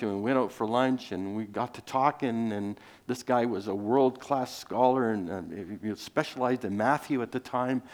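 A man's voice: mid-range voice, darkish tone. Male preacher, Greek first language; loose, rambling sermon delivery full of restarts and asides. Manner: rambling, many restarts and fillers, chuckles. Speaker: pastor.

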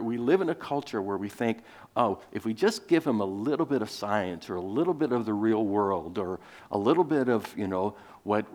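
A man's voice: lowish voice, a little mellow. Male preacher, Greek first language; loose, rambling sermon delivery full of restarts and asides. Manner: rambling, many restarts and fillers, chuckles. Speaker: pastor.

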